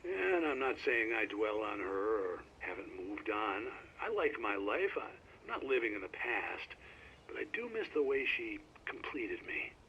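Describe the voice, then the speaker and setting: An actor playing a folksy older small-town radio host in a video game, rambling and halting, heard through a radio. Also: male voice, on the low side, sing-song, vocal fry, bright, forceful tone, full character voice. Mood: wistful, halting. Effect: radio filter. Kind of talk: conversational radio show acting. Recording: dull, noisy radio broadcast.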